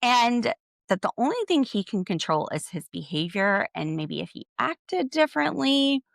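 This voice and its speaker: A woman's voice: a little high, big pitch swings, normal speed, gravelly, no echo, clean studio sound. A woman hosting a podcast, giving a solo recap of a TV show in a blunt, opinionated way with sarcastic asides. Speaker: podcast host.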